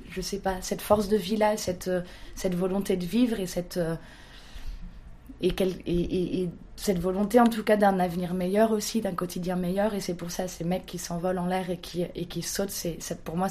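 A woman's voice: on the low side, mellow. A young woman, a photographer talking about her work in an interview, hesitant with many restarts. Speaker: photographer guest. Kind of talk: casual interview talk.

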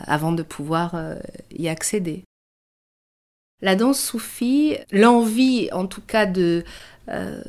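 A woman in her early thirties giving a reflective personal account, pausing often as she searches for her words. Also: on the low side. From France. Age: early 30s. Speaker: dancer and choreographic artist. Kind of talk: reflective personal interview narration.

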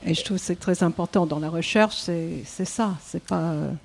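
A woman's voice: on the low side, normal speed, gravelly, darkish tone. A woman artist speaking reflectively as a guest in a panel discussion.

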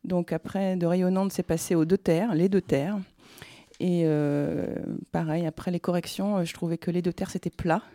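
A woman being interviewed as a novelist, telling their story conversationally in a hesitant, halting way. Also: lowish voice, fairly even intonation, mellow, no room sound. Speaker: novelist interviewed about their first book.

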